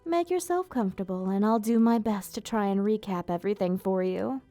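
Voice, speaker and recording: A woman playing an elegant vampire ruler, proud yet tender, speaking in formal, measured phrases in an ASMR roleplay. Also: medium pitch, dark tone, no echo, soft background music, close to an everyday voice. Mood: composed, gently amused. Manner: formal, measured, theatrical diction. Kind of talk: ASMR roleplay, scripted monologue to listener.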